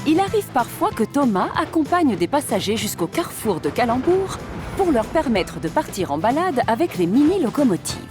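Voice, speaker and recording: A woman narrator reading scripted children's cartoon narration in an expressive storytelling manner. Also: on the high side, moderate pace. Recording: music behind the voice.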